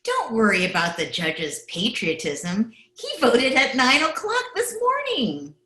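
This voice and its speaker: Woman as lilting, bright, prim polling clerk. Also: fairly high pitch, huge pitch swings, a little slow, full character voice. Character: principled small-town woman polling clerk. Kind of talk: 1940s radio comedy acting, recreated. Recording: roomy remote home recording.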